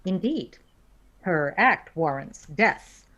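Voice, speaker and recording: A woman's voice: lowish voice, animated, fairly bright tone, dull audio. A woman playing a cold, logical female alien first officer in a science fiction audio drama, speaking formally and precisely. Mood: stern, probing. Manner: formal, measured, precisely worded.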